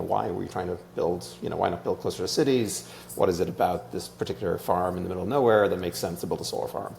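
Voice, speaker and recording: Irish male founder as interview guest, very low gravelly voice, casual explainer, faint hum. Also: darkish tone. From Ireland.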